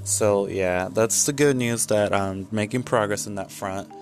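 A man's voice: fairly low pitch, measured pace, soft background music. A man in his twenties talking candidly in a casual vlog, rambling with many fillers and restarts. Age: 20s. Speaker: vlogger.

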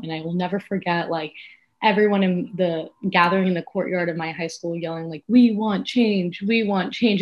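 A young woman from South Florida who co-hosts a podcast and works as an activist. Her voice sits fairly low for a woman. She talks earnestly and reflectively, with soft "you know" and "um" fillers. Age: young adult. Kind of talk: casual personal storytelling.

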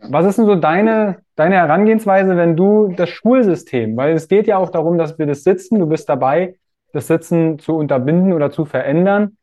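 A man's voice: a little high, normal speed, dark tone. A man hosting an interview, talking casually and thoughtfully, feeling for his words as he goes. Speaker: podcast host. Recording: dull, lo-fi audio.

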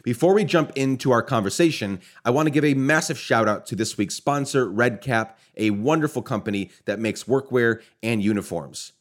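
A man's voice: medium pitch, moderate pace, hardly any pauses. A man hosting a podcast, enthusiastic and effusive, often stumbling and restarting his sentences. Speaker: podcast host. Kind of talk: casual podcast interview. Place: Nashville.

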